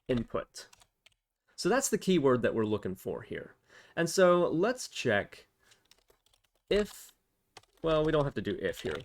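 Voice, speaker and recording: A man with a medium-pitched voice hosts a programming tutorial. He speaks casually, reasoning aloud and explaining things step by step, as in an informal screen recording.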